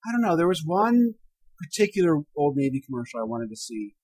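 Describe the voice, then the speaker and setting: A man co-hosting a podcast in casual chat, animated and jumping between loud and quiet. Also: on the high side, a little fast, slightly rough, poor audio. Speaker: podcast co-host.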